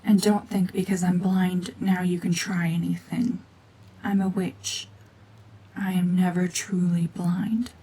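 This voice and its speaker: A woman voicing a cold, mean renegade gorgon witch in a hushed, half-whispered ASMR drama, domineering and sardonic. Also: on the low side, little pitch movement, slow, breathy edge, heavily acted, poor audio. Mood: threatening.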